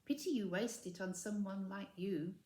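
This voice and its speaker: A woman's voice: mid-range voice, moderate pace, a little mellow, natural voice. A woman voicing a smug schoolgirl witch bully in a sneering, mock-innocent storybook manner, in a roomy recording.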